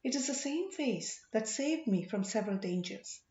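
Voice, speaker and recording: A woman's voice: on the high side, lively intonation, moderate pace, warm tone, echoey room, lo-fi sound, close to an everyday voice. A woman voicing a dazed, earnest young prince full of wonder in an audiobook story.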